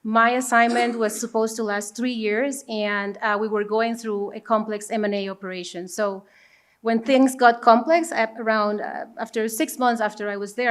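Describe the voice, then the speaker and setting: A woman's voice: medium pitch, a bit bright. Woman from Panama speaking on a panel. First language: Spanish. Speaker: corporate executive, panel speaker. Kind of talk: conference panel talk before an audience.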